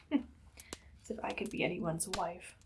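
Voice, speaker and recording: A woman playing an imperious, idly cruel evil queen in an ASMR roleplay, soft-spoken and often whispering in a languid, condescending way. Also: lowish voice, fairly even intonation, slow, background noise. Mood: menacing amusement. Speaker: ASMR performer.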